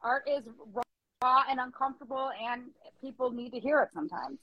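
Woman, higher-pitched, earnest and emotive open mic reader. Close dry sound, slightly dull fidelity. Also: fairly even intonation, a little fast, no echo. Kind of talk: dramatic poetry reading and casual discussion. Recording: muffled lo-fi dry audio.

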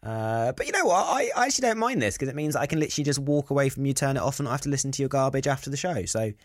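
A man's voice: medium pitch, animated, very fast, dry recording. A man co-hosting a podcast from a studio, chatting with dry, teasing banter. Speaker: podcast co-host. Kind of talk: casual chat.

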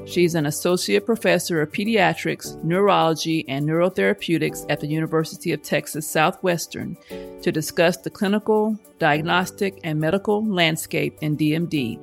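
A woman hosting a medical podcast, reading a formal introduction and disclaimers in a measured voice, then asking her guest questions. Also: fairly low pitch, gravelly. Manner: measured, formal, read from a script. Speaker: podcast host, medical science liaison.

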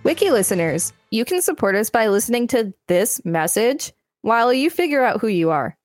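A woman's voice: on the high side, sing-song, moderate pace, no room sound, soft background music. A woman co-hosting a podcast, reading an encyclopedia article aloud with dry, wry quips. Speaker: podcast co-host.

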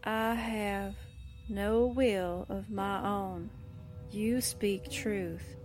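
A woman's voice: medium pitch, fairly even intonation, drawn-out words, a little mellow, soft background music. An actress playing a sassy young newspaperwoman in a 1940s-style radio drama, with slangy, streetwise delivery. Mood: entranced, blank. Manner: wisecracking, streetwise, brash.

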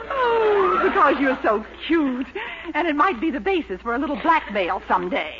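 Give me a giddy spinster, high and gushing, on a dull old radio recording. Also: female voice, big pitch swings, moderate pace. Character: giddy man-crazy older spinster woman. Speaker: neighbor character in a radio sitcom. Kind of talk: 1940s radio comedy acting. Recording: dull old radio broadcast with loud hiss.

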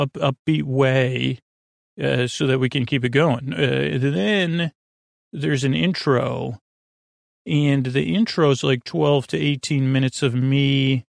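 A man with a gravelly, creaky voice hosts a bedtime podcast. He wanders through tangents and filler words in an unhurried ramble meant to lull the listener toward sleep. The sound is close and dry, with no room around it. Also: mid-range voice, dry recording. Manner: meandering, full of fillers and pauses.

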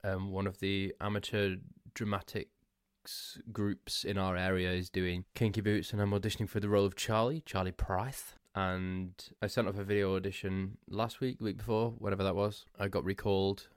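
A man's voice: sits low, little pitch movement, normal speed, smooth, dry recording, studio quality. A man hosting a solo podcast, stuffy and losing his voice, rambling and self-mocking with frequent laughs. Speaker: podcast host.

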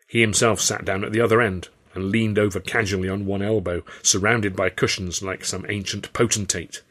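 A man's voice: lowish voice, fairly even intonation, moderate pace, a bit bright. A man with an English accent narrating a first-person story from a script in a wry, literary style. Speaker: author and audiobook narrator.

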